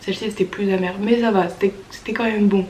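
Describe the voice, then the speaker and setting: A woman's voice: medium pitch, steady tone, quick pace, a little mellow, a little hiss or hum, low-quality recording. Young French woman vlogging with a stuffy cold. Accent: French from France. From France. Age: early 20s. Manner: informal, youthful slang, enthusiastic. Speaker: au pair vlogger. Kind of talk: casual chatty vlog storytelling.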